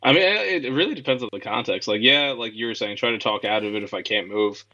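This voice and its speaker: A man co-hosting a casual comedic chat, blunt and animated when he tells a story, on slightly dull audio. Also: medium pitch, quick pace. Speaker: podcast co-host.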